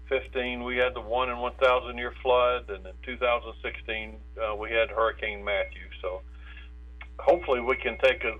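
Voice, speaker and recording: A man with an American accent giving preparedness advice in a phone interview, haltingly, repeating words and stumbling mid-phrase. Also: mid-range voice. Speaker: state insurance director, interviewed guest. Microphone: telephone. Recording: tinny narrow phone line with hum.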